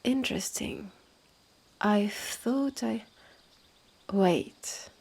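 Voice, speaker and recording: A woman with a French accent playing a haughty, territorial witch's sister in a roleplay audio drama, talking in a measured, condescending way with breathy drops. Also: mid-range voice, a little mellow, full character voice. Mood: intrigued, wry. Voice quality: husky, breathy. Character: haughty, territorial witch's sister running a secretive woodland hotel.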